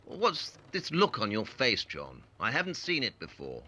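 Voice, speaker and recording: An actor playing a haughty, probing detective in an audio play, with precise, pedantic delivery. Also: male voice, medium pitch, wildly swooping pitch, a little slow, sharp tone, husky, heavily acted. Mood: probing, piqued. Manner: precise, clipped, pedantic interrogation.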